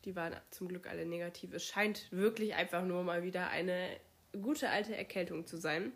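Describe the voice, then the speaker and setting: A young German woman hosting her podcast while she has a cold, her voice strained, chatting casually with many fillers. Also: medium pitch. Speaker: podcast host. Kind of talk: casual solo podcast chat.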